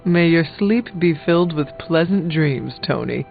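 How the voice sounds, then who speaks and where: A formal supernatural warrior from a video game, courteous and drily deadpan. The voice is a little high with a gravelly creak, measured in delivery, and thinly recorded. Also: male voice. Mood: polite, calm. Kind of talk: video game dialogue acting. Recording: tinny band-limited audio, music underneath. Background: background music.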